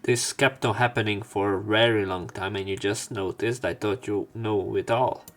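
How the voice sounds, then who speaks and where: A man's voice: fairly low pitch, fairly even intonation, moderate pace. A man reading a visual novel aloud for a let's play, breaking in with casual, sardonic commentary. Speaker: let's player.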